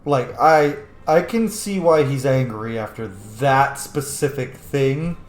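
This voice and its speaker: A man with a medium-pitched voice gives casual video game commentary. He talks slowly and gets involved in the story, in a noticeably echoey room. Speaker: streamer playing a role-playing game. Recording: roomy echo, faint background noise.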